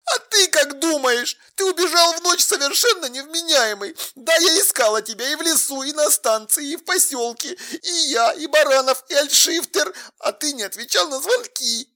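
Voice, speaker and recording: High, bright, tearful accusing girlfriend. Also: animated, moderate pace, few pauses, full character voice. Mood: angry, reproachful. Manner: tearful, reproachful, emphatic. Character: hurt, accusing young woman, the narrator's girlfriend.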